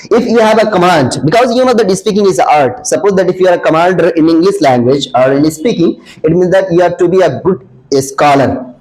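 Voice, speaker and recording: A man's voice: high voice, a little mellow, low-quality recording. A young man from India with an Indian accent, a senior student earnestly answering questions about learning English, full of fillers and restarts. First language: Hindi. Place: classroom.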